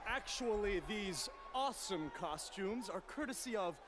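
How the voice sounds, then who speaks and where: An actor playing a goofy, gleeful amateur wrestler in a video game, exuberantly plugging away. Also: male voice, sits high, animated, unhurried, a bit bright. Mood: proud, cheery. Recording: noisy, commentary over game audio.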